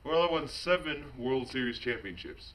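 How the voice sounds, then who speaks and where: A man's voice: mid-range voice, expressive, normal speed, natural voice. Distant-miked man playing a courteous baseball star. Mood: courteous, matter-of-fact. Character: famous baseball slugger, courteous man giving a TV interview. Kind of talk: amateur acted interview in a mock newsreel. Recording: distant mic with faint noise.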